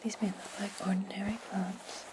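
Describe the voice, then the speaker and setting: A woman playing an imperious, scheming witch in a breathy, condescending whisper for an ASMR fantasy roleplay. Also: mid-range voice, level tone, noisy background, lightly acted. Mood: condescending, instructing. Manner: slow, deliberate, condescending. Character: imperious, scheming witch giving orders to her servant.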